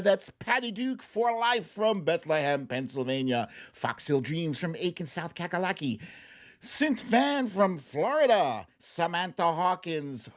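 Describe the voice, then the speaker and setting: Playful livestream host with swooping, unhurried delivery. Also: medium pitch. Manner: playful, expressive, silly exclamations. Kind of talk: casual livestream commentary on clips.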